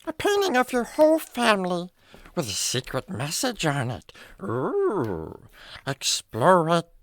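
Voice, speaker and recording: A woman's voice: fairly low pitch, huge pitch swings, unhurried, no echo. A woman voicing a naive, curious young animal sidekick in a children's radio drama, with wide-eyed, slangy exclamations. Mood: amazed.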